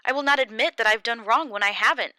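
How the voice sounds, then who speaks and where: A woman gives voice to Job, a grieving old man who argues back hard against his accusers. The delivery is raised and impassioned, pleading yet defiant. The tone is bright and sharp, sitting a little low, in a dry, close storybook reading. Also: normal speed, no echo. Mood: defiant grief. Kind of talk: audiobook character reading.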